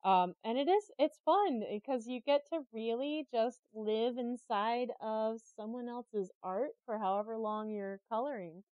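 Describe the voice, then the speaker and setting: A woman's voice: a little high, unhurried, few pauses, darkish tone, no room sound, dull audio. Giggly woman in casual interview chat. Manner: giggly, laughs easily. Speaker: interview guest, artist and creative director.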